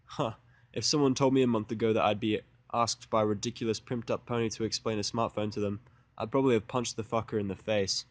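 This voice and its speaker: A man's voice: fairly low pitch, steady tone, fast talker. A man reading scripted first-person fan fiction as the narrator of an audio drama.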